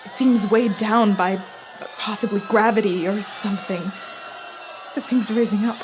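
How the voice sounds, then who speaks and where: A woman playing an on-scene radio news reporter in a radio play, giving dramatic, halting eyewitness commentary in growing horror. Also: medium pitch, steady tone, background noise, lightly acted. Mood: horrified awe. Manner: dramatic, halting, with pauses and repeated words.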